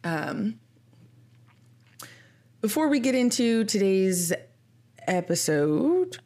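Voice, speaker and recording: A woman's voice: medium pitch, big pitch swings, very slow, mellow. A woman in her thirties, co-hosting a podcast in casual chat, sounding stuffy and sniffly from a cold, chatty and laughing at her own complaints. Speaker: podcast co-host.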